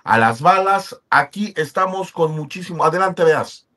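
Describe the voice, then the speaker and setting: A man's voice: a little high, lively intonation, normal speed, a bit bright. A man presenting a sports radio show as its host.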